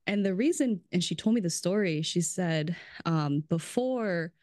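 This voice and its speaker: A woman chatting casually as a podcast guest, talking about restaurants, full of "like" and quick laughs. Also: on the low side, lively intonation, darkish tone, dry recording, clean studio sound. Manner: chatty, fills speech with "like", laughs often. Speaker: brand strategist guest.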